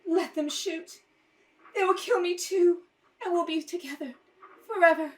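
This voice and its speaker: A woman's voice: very high-pitched, unhurried. A woman acting a devoted, anguished young heroine in a radio serial, melodramatic and tearful. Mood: tearful devotion. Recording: distant from the mic.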